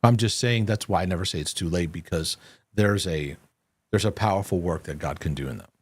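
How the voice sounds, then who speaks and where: A man's voice: sits low, fairly quick, breathy edge, no room sound. Earnest man hosting a live faith Q&A. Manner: earnest, reassuring, lots of restarts. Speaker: host. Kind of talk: live Q&A broadcast, faith-based teaching.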